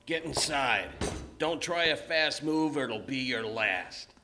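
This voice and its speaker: A man's voice: mid-range voice, expressive, slow pace, background noise. A man playing a suspicious, threatening outlaw killer in an old-time Western radio play, barking orders.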